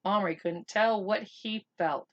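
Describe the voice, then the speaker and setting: A woman reading a children's book aloud as an expressive narrator. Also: medium pitch, unhurried, dull audio.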